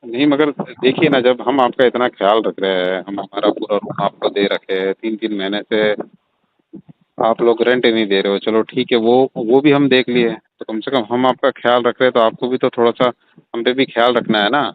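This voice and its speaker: A man's voice: medium pitch, fairly even intonation, moderate pace, lightly acted. A man playing an insinuating landlord in his forties on a phone call, talking in a hesitant, repetitive way. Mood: pressing, complaining. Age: 40s. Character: insinuating middle-aged landlord pressing tenant for rent. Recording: tinny narrowband phone line.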